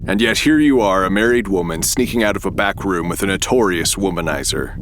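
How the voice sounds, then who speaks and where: A man's voice: sits low, a little fast, noisy background. A man playing a cold, disdainful government agent in an old-style detective radio drama, speaking formally and curtly. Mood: sneering.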